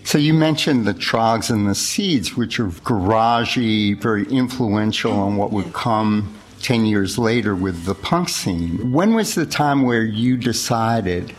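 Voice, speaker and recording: Low-voiced man hosting an interview. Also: expressive, a little slow, hardly any pauses. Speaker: radio host.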